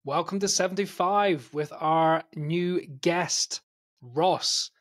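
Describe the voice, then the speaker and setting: Chatty teasing English podcast host. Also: male voice, a little high, takes it slowly, a bit bright. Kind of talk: casual podcast chat.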